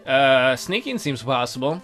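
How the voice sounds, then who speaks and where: A man's voice: medium pitch, measured pace. A man hosting a let's play, reading a visual novel aloud with goofy, joking asides. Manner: jokey, animated, goofy asides. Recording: background music under voice.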